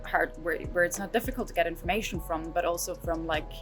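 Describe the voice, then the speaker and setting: A woman's voice: on the low side, fast talker. A woman in her twenties from Austria, a fashion graduate giving chatty interview answers full of "like". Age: 20s. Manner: chatty, many "like" fillers. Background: music.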